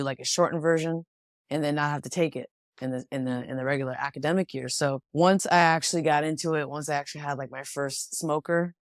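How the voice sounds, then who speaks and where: A woman's voice: low voice, no echo, clean studio sound. A young American woman from Washington State, a pro boxer, talking reflectively as an interview guest with frequent restarts. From Washington State, USA. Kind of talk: casual interview conversation.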